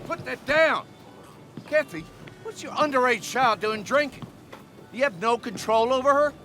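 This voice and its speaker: An angry, accusatory game character. The voice is pitched extremely high and cuts sharply as he scolds. Faint music plays behind. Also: expressive, measured pace, bright, forceful tone, exaggerated voice. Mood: angry, accusing. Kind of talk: video game acting.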